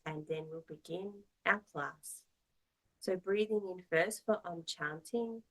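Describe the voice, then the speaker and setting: A woman teaching yoga, guiding a meditation in a soothing, measured, gently instructive manner. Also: fairly low pitch, low-quality recording.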